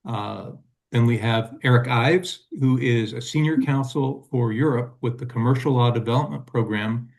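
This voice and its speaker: A man moderating a panel, speaking off the cuff in a halting, courteous manner. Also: medium pitch, darkish tone. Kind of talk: unscripted panel introduction.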